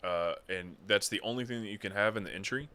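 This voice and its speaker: A man co-hosting a casual livestream, explaining a giveaway in a relaxed way with fillers. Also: on the low side, quick pace. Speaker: stream co-host.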